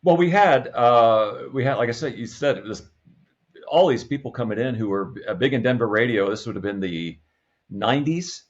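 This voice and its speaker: An American man in a casual interview, reminiscing as a guest in a halting way with repeated words. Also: medium pitch, animated, dull audio. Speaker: podcast guest, radio comedy writer and producer.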